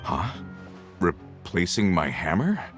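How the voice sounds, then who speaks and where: A low-voiced, humble working man. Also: very animated, takes it slowly, a bit bright, full character voice. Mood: puzzled. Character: weary, humble male working-class family man. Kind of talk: animated game character dialogue. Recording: game music behind.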